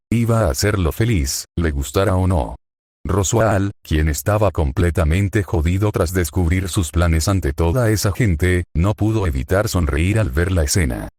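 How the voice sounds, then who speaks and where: A man with a low, deep voice and a Spanish accent from Spain reads a fan story aloud. His delivery is steady and even, and the recording is dry and close, with no room sound around him. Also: no echo. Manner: steady, even-paced reading of narration and quotes. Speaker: fanfiction reader.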